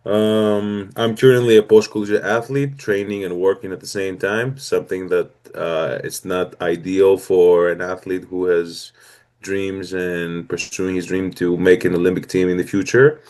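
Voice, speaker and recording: A man's voice: sits low, level tone, normal speed, a little mellow. A man in his twenties from Greece with a Greek accent, an upbeat and earnest guest telling his story in a casual interview. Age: 20s. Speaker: guest athlete.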